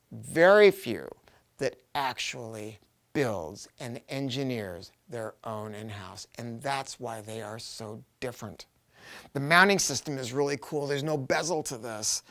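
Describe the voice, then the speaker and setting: A middle-aged American man giving a casual, enthusiastic product review in colloquial, emphatic language, with a rambling delivery. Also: medium pitch.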